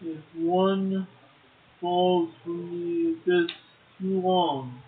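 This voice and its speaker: A man's voice: high voice, fairly even intonation, drawn-out words. A man co-hosting a poetry show, making casual comments and reading short verse aloud. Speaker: poetry podcast co-host, poet. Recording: muffled, tinny phone-like audio.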